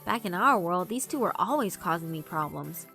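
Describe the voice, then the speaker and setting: A woman's voice: fairly low pitch, lively intonation, moderate pace, gravelly, bright, forceful tone, soft background music, exaggerated voice. A woman voicing a timid, well-meaning girl who muses to herself hesitantly in a visual novel. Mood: fretful musing.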